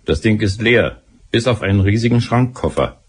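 An actor playing a gruff, dutiful police sergeant in a German radio drama, reporting bluntly and dryly in a husky voice. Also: male voice, fairly low pitch, animated, normal speed. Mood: matter-of-fact.

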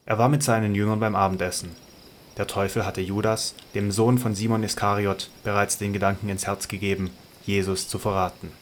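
Low, flat-voiced male narrator. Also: a little hiss or hum. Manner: measured, even. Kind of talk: scripted narration.

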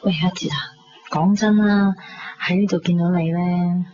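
A woman's voice: fairly low pitch, fairly even intonation, moderate pace, lightly acted. A woman playing a bubbly, loyal young best friend in a Hong Kong Cantonese radio drama, chatty, gushing and teasing. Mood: encouraging. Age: young adult. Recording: dull 1990s radio recording, background noise.

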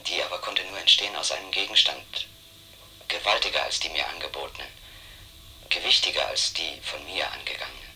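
A weary, brooding narrator in a radio drama. His low voice is very sharp and forceful, with faint noise behind it. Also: a little fast, heavily acted, low-quality recording. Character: brooding, weary male narrator confessing his sense of futility. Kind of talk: literary radio drama monologue.

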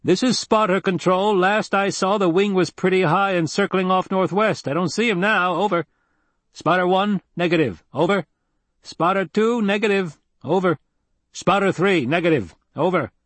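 A man's voice: high voice, normal speed, a bit bright, dry recording, pitched above the performer's own voice. A man voicing a terse aircraft spotter in an audiobook, reporting in clipped radio procedure. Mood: terse, alert.

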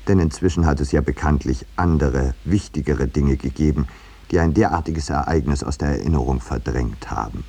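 Radio play lead: urbane middle-aged painter-narrator, low register, wry, self-important, measured delivery. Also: male voice, mellow, slightly rough, exaggerated voice. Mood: self-satisfied, wry. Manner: measured, ironic, slightly pompous. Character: landscape painter Eduard Merlin, urbane wry storyteller, looking back.

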